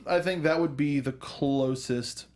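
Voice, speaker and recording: A man's voice: mid-range voice, a little slow, darkish tone. A man hosting a casual talk show about films and games, explaining things with asides and acted-out imagined lines. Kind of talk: casual film and video game discussion.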